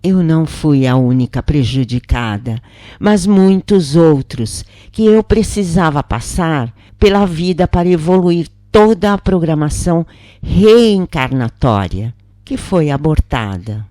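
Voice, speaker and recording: Slow, expressive woman narrator. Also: low-pitched, no room sound, studio quality. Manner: slow, expressive, emotional first-person reading. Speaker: channel narrator. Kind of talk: slow spiritual story narration.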